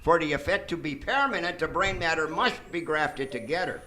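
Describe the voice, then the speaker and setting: Man playing a high, pompous mad surgeon. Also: expressive, brisk, pushed and bright. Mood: explaining, smug. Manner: pompous, grandiose, theatrical. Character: vain, megalomaniac mad brain surgeon, absurdly boastful villain. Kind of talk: live comedic radio drama acting. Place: theater stage before an audience. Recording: noisy live theater stage recording.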